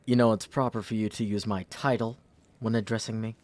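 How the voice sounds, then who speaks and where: A man's voice: on the low side, little pitch movement, a little fast, a little mellow, close to an everyday voice. A man playing a pompous, entitled young prince in a fantasy audio drama, haughty and condescending. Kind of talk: fantasy audio roleplay acting.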